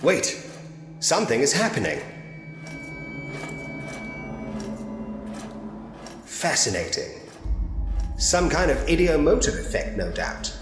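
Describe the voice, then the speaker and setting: A man's voice: on the high side, huge pitch swings, normal speed, music in the background. A man playing an inquisitive, articulate investigator who muses to himself in a Victorian ghost story point-and-click game. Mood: intrigued. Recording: roomy, long reverb, noisy ambient bed.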